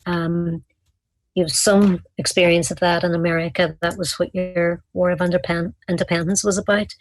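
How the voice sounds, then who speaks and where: A woman's voice: on the low side, little pitch movement, moderate pace, low-quality recording. An Irish woman in her thirties, a guest on a video call, earnestly explaining history with frequent ums and restarts. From Ireland. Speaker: guest, community activist. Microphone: video call webcam.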